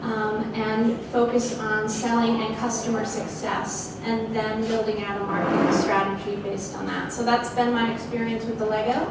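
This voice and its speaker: Woman, middle-aged, guest speaker, measured, many fillers, flat pitch, distant echoey hall, background noise. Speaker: guest speaker, sales executive. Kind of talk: onstage fireside chat. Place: large open office event space with audience. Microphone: handheld mic heard from a distant camera. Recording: echoey room from far off with noise.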